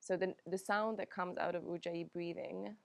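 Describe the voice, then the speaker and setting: A woman whose first language is Finnish, teaching a yoga class in a calm, unhurried way with frequent pauses and "um"s. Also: on the low side, creaky, no echo. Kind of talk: guided yoga class instruction.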